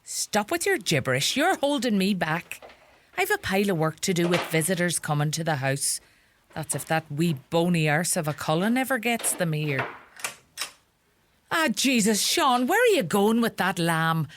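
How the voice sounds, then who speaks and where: Woman acting bossy irish mammy from donegal, radio play, scolds and gossips, really lively pitch. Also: normal speed, noisy background. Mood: scolding. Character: bossy, sharp-tongued rural Irish mother, fussing and scolding.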